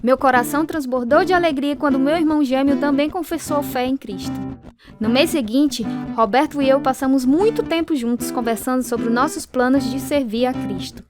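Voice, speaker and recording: A woman's voice: sits high, moderate pace, over music, natural voice. An actress with a Brazilian accent playing a devout, earnest young convert telling her story in a radio drama. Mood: warm narrating. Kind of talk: radio drama narration and dialogue.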